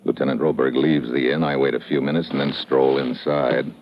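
Male tough investigator, very low voice, clipped dry narration, noisy muffled vintage radio recording. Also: flat delivery, a little fast. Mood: cool, scheming. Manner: clipped, dry, hard-boiled. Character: tough wisecracking male American investigator narrating his case. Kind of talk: 1950s radio drama acting, hard-boiled narration.

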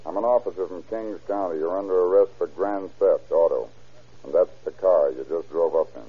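An actor playing a firm, unflappable police officer with a clipped, dry, authoritative manner in an old radio crime drama. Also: male voice, sits low, fairly quick, breathy edge. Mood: commanding. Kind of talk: 1930s-40s radio crime drama acting. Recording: tinny, band-limited old radio broadcast.

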